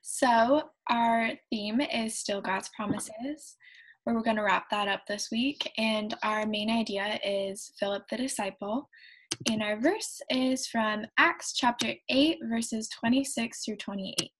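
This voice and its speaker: Young woman reading scripture, breathy. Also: fairly high pitch, low-quality recording. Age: young adult. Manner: measured, careful reading aloud. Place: bedroom at home. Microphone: webcam.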